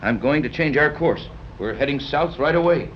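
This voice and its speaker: Actor playing clipped commanding captain, dull old radio recording. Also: male voice, on the high side, lively intonation, normal speed, background noise, natural voice. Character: brisk gruff sea captain, skeptical. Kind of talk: 1930s radio acting.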